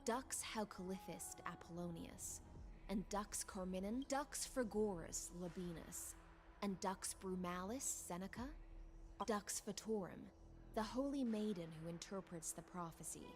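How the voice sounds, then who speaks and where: A woman's voice: medium pitch, unhurried, natural voice. A woman voicing a courtly female knight commander in fantasy game dialogue, ceremonious and formal. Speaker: video game voice actor. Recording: music under the voice.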